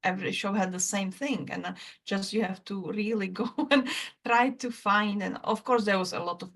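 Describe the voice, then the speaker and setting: Czech lady guest, flat low voice, kinda gravelly, halting english. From former Czechoslovakia. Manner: halting, many restarts and fillers, non-native grammar. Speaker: guest, trauma therapist. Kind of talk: casual interview talk.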